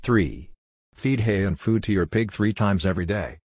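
Deep, husky male voice-over narrator. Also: animated, normal speed, darkish tone, no echo.

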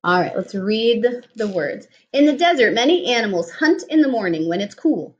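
A woman's voice: a little high, lively intonation, normal speed, dull audio. A woman in her thirties reading a picture book aloud to a young child in a home kitchen, explaining things patiently in simple words, recorded on a webcam at the table. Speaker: speech therapist reading to a child. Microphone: laptop or webcam at table distance.

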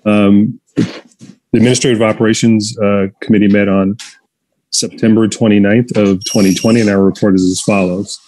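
A man's voice: fairly low pitch, level tone, normal speed, warm tone, a little hiss or hum, low-quality recording. A man, a commissioner, reading a committee report steadily and formally on a video call with earphones. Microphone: headset earphones on a video call.

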